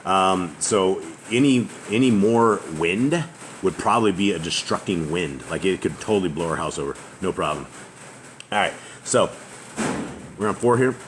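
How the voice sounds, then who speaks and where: A man with a loud, booming voice leading a casual family scripture reading in a house open to the outdoors, reading passages aloud. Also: expressive, normal speed, slight background noise. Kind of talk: casual group Bible study. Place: house open to the outside, noisy roof. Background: faint outdoor ambience.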